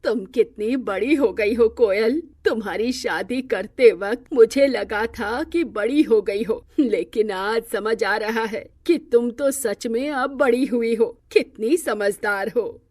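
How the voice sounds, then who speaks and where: A doting old grandmother from a cartoon moral tale, put on by a woman narrator. Her voice is mellow and fairly high, full of family concern. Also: takes it slowly, hardly any pauses, no room sound, clean studio sound. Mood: proud, tender. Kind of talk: acted cartoon moral-story dialogue.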